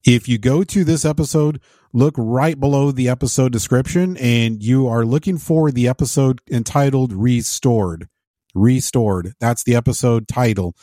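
A man's voice: medium pitch, moderate pace, mellow, no echo, studio quality. A man hosting a podcast interview, guiding the talk in a friendly way. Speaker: podcast host.

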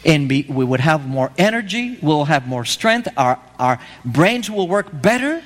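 A man's voice: on the high side, very animated, unhurried. A man from Argentina preaching an evangelistic sermon, emphatic and pleading, repeating his key phrases. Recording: long reverb of a hall.

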